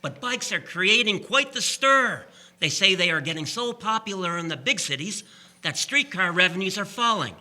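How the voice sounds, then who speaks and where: Man acting a high, forceful, opinionated shop clerk. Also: expressive, moderate pace, full character voice. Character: brisk, opinionated 1890s bike shop clerk Larry. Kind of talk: community radio drama acting in early live radio manner.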